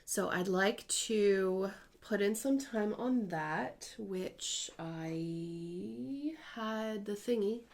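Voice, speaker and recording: A woman's voice: medium pitch, drawn-out words, a little mellow. A woman in her thirties talking casually at home about her cross-stitch projects, in a chatty, rambling way with small self-corrections. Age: 30s. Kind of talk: casual chatty crafting vlog.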